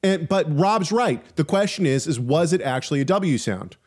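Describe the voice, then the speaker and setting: A man's voice: a little high, lively intonation, normal speed, breathy edge. A man hosting a podcast in a casual, chatty way, reading out listener questions and jumping into the talk. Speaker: podcast host. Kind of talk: casual chat.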